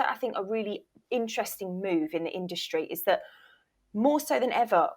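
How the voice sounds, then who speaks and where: Woman, slightly low pitch, conversational, emphatic, industry expert guest. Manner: emphatic, often voices imagined questions from actors. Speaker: interview guest, voice reel producer and former voiceover agent. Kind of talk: conversational interview explanation.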